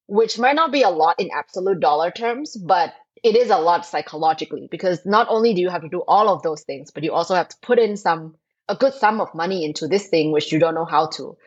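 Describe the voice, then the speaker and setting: A woman from Thailand in her thirties, a startup co-founder who explains things in an animated, emphatic way on an interview podcast. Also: lowish voice, a little fast, slightly rough, highs cut off. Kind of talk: interview answers.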